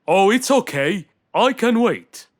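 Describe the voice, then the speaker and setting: Casting: performer as fretful royal male animal for kids' cartoon, plaintive, slow and dramatic, lively pitch. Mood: anxious, pleading. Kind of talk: children's cartoon character acting. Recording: music behind the voice.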